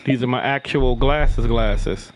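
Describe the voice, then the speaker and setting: A man chatting casually in slangy banter as a radio show co-host, with music clearly playing behind. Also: mid-range voice, slow. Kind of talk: casual chat.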